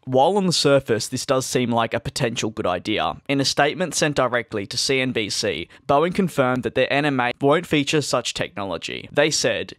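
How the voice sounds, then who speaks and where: Steady male aviation news narrator. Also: mid-range voice, no echo, clean studio sound. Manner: steady, measured news delivery. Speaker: aviation channel host. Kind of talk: scripted video narration. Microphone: close studio voice-over.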